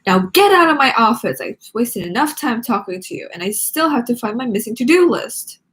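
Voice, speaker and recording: A woman voicing a moody, high-strung male teacher from a storybook, irritable and bossy. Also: very animated, fairly quick, fairly bright tone. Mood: dismissive.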